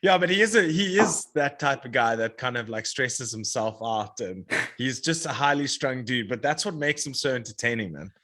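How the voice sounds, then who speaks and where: A man guesting on a podcast in casual chat, relaxed and enthusiastic about fighting. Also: medium pitch, normal speed, hardly any pauses.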